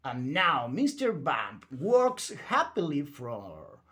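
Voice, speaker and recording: Slow, animated male children's storyteller. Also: fairly high pitch. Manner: theatrical, emphatic delivery for young children. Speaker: storyteller reading a children's book aloud. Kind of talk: animated bedtime read-aloud narration.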